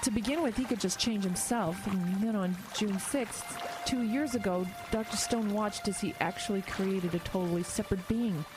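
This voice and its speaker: A woman acting a frightened, compassionate investigator in an audio horror drama, earnest and halting under strain. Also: medium pitch, over music, natural voice, poor audio. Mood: urgent explaining.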